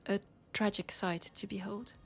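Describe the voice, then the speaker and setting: A woman's voice: mid-range voice, fairly even intonation, normal speed, faint music behind, lightly acted. A woman with a French accent playing a worried, disillusioned policewoman in an intimate sci-fi roleplay, confiding in a hesitant way. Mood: somber, confiding. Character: worried, disillusioned young policewoman in a dystopian city. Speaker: roleplay audio performer.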